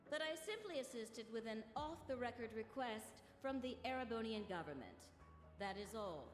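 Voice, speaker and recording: A woman's voice: fairly high pitch, animated, normal speed, close to an everyday voice. An actress playing a composed, businesslike woman with formal speech in a video game. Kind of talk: video game cutscene voice acting. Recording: echoey hall reverb, faint music.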